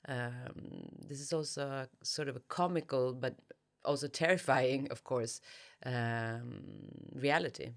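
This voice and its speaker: A woman's voice: extremely low pitch, a little slow. A woman being interviewed as an author, speaking reflectively and hesitantly as she searches for words. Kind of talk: thoughtful literary interview.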